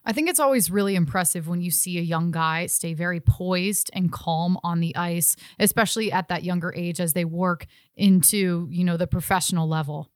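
A woman's voice: lowish voice, moderate pace, few pauses, no echo, clean studio sound. A woman hosting a sports podcast, asking interview questions in a conversational style.

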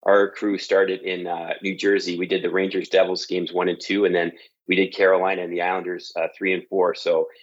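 A Canadian man with a very low voice chats easily about his career, with plenty of ums and uhs. The sound is a little dull and lo-fi, like a remote call from home. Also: a little fast. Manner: relaxed storytelling with frequent fillers. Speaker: guest, TV sports director. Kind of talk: casual interview talk.

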